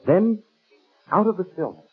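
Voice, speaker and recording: A male announcer narrates an old radio thriller in a theatrical, suspenseful style. His high voice rises and falls dramatically, with a slightly rough edge. The 1930s recording is muffled and narrow. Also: expressive, moderate pace, heavy background noise.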